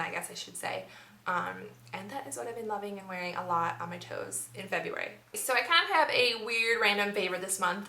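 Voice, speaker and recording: A young woman with a bright, forward voice talks through her monthly beauty favorites in a chatty, upbeat way, full of "like" and "um." Her voice rings a bit in an echoey room at home. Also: medium pitch. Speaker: beauty vlogger. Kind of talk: casual monthly favorites review.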